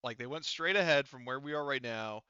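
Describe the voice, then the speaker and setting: Bright, clear-voiced man running a game. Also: medium pitch, fairly quick, dry recording, highs cut off. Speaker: game master. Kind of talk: casual game narration.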